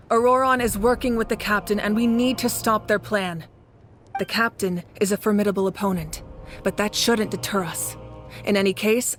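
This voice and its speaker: Woman acting a young battle leader, mid-pitched, commanding, measured, confident, video game dialogue, clear music underneath. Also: natural voice.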